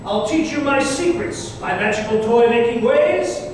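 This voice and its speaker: A man's voice: very high voice, expressive, normal speed. A man playing a stern but jolly old Santa in a rhyming old-time radio play, with a theatrical, commanding delivery. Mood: kindly. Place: live stage performance in a hall. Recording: very echoey hall, distant mic, faint noise.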